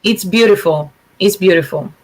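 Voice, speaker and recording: A woman's voice: on the low side, moderate pace. A woman whose first language is Greek, chatting casually as a guest on a video call and often restarting her sentences. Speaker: guest musician. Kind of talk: casual video chat interview.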